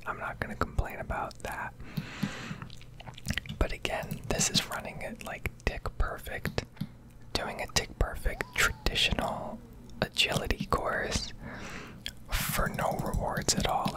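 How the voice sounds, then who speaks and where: A woman whispering a rambling, casually sweary ASMR commentary over gameplay, close to the microphone. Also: rough recording. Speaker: game streamer.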